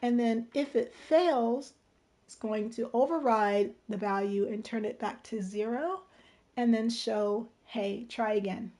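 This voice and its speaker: The woman you'd find on a late livestream walking viewers through a game-building tool, informal, soft-toned and a little hoarse from talking. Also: on the high side, measured pace. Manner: informal, step-by-step explaining, checking in with "right?" and "okay?". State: slightly hoarse, strained voice. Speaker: livestream tutorial host. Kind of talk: casual game-design tutorial.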